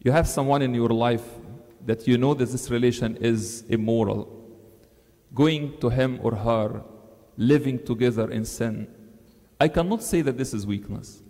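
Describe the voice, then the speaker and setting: A priest preaches from a lectern, earnest and emphatic, his pitch climbing on the words he stresses. His voice is dark and mellow. It rings out with a long reverb that fills the large church. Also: moderate pace. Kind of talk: sermon. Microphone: stand mic on the lectern.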